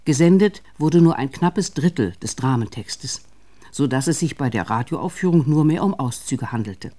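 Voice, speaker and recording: A male narrator reads a scripted radio documentary. His voice is mellow and relaxed, sitting at an average pitch. The delivery is formal and measured.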